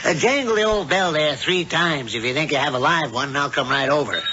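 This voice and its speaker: A folksy blacksmith husband, easygoing yet scheming, in a slightly high voice. It is 1970s radio drama, muffled and clearly noisy. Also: male voice, brisk, sharp tone. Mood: businesslike, easygoing. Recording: noisy, dull old radio broadcast.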